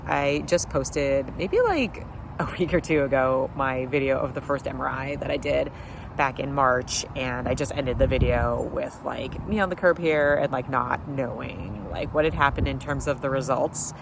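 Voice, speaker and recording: A man with a blocked-up nose from a summer cold talks at an ordinary middle pitch, rambling through a video diary with lots of fillers and dry sarcasm. He sits outdoors on a street curb while cars pass, and their noise comes through clearly. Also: moderate pace. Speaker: vlogger. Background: passing traffic.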